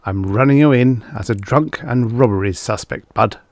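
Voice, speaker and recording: A tall, cynical male cop, voiced by a man narrating an audiobook, curt and smirking. He is gruff and unimpressed as he gives orders. The recording is dry and close. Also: mid-range voice, normal speed, no room sound, lightly acted. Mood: stern. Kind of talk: audiobook character dialogue.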